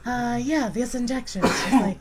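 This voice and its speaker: A woman in her thirties with a low, breathy voice from vocal cord paralysis, chatting as a podcast guest in halting short bursts and running out of breath. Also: very noisy. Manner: halting, explains in short chunks, runs out of breath. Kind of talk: casual chat. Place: houseboat.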